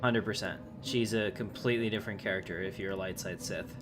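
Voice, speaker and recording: Low-voiced man streaming a game. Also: fairly even intonation, fast. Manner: chatty, rambling, slangy. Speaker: livestreamer. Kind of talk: casual game livestream commentary. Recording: clearly noisy livestream audio.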